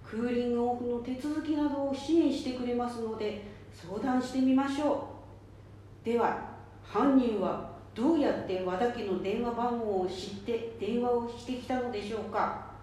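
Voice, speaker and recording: A woman's voice: fairly high pitch, normal speed, dark tone, natural voice. A woman playing a patient, polite safety advisor who explains things step by step in an amateur radio drama. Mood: instructive. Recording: very echoey room, faint noise.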